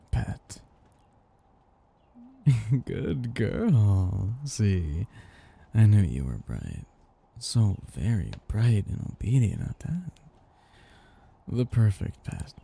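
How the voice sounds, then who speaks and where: A man playing a possessive, condescending vampire master who croons and teases slowly in an intimate ASMR roleplay. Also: fairly low pitch, exaggerated voice. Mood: smug, adoring. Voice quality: husky, gravelly, dark. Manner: slow, crooning, teasing, condescending.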